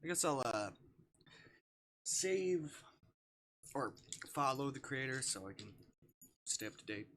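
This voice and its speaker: A man's voice: medium pitch, expressive, slow, pauses often, fairly bright tone, a little hiss or hum. A man doing casual livestream game commentary, reading on-screen text aloud and muttering asides to himself. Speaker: game streamer.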